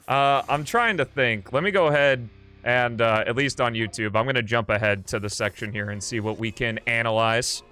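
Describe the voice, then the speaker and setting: A man commentates on a video game for his livestream in a medium-pitched voice. He talks informally and loosely, thinking aloud as he plays. Game music runs behind his voice. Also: sharp tone. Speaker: livestream host. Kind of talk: casual gameplay commentary.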